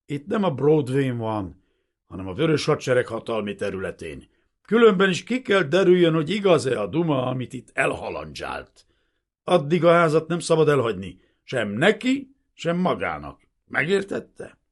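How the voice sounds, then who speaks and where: A man's voice: mid-range voice, very animated, voice pushed up. A man voicing a cruel, sneering young Soviet lieutenant who snarls and barks with contempt in a storybook reading. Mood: contemptuous.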